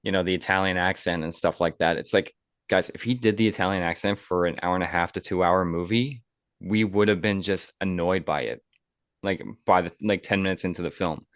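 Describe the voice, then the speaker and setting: A man's voice: deep voice, steady tone, a little fast, a bit bright, dry recording, studio quality. A man hosting a podcast, talking casually and with enthusiasm and opinions. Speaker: podcast host. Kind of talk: casual film discussion.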